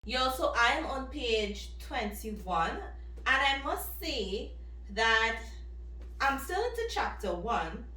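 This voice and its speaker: A woman giving casual, expressive book reactions as a vlogger, talking in an emphatic, conversational way. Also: a little high, takes it slowly, faint music behind. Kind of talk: casual reaction and review to camera. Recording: roomy echo, distant microphone.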